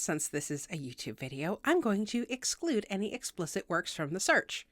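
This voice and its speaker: A woman's voice: medium pitch, fairly bright tone, no echo, studio quality. A woman hosting a video tutorial, reading scripted explainer narration in a precise, instructional way with wry asides.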